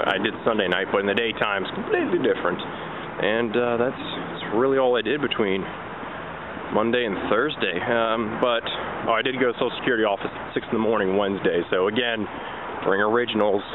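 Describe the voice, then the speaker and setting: Chatty young man vlogging outdoors. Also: mid-range voice. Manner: chatty, rambling, self-joking asides. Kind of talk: casual walking vlog. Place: outdoors at a theme park resort, walking. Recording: tinny lo-fi audio with outdoor noise.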